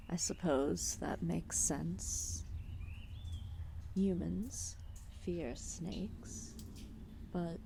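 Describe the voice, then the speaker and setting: A woman playing a calm, solemn, ancient serpent deity in an ASMR roleplay, speaking softly and formally with deliberate pauses. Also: fairly low pitch, slight background noise, heavily acted. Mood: solemn, detached. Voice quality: soft, breathy, often dropping into whispers. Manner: slow, deliberate, formal phrasing with long pauses.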